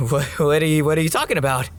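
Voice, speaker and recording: A man's voice: fairly high pitch, steady tone, quick pace, vocal fry. A young man playing an earnest, nerdy college student with a stubborn streak in an audio drama. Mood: nervous.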